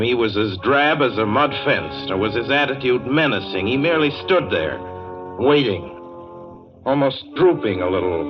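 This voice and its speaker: An actor playing a haunted, increasingly frantic motorist telling his eerie tale in a measured, dramatic 1940s radio suspense style. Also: medium pitch, close to an everyday voice. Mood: tense, insistent. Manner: measured, dramatic, brooding delivery. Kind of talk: 1940s radio drama acting, first-person suspense narration. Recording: muffled old radio recording with loud music.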